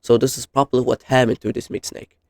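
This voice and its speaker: Man with creaky voice rambling through casual commentary. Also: mid-range voice, level tone, a little mellow, no echo. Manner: halting, rambling, self-correcting. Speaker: YouTube host reviewing horror videos. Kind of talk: casual unscripted explainer commentary.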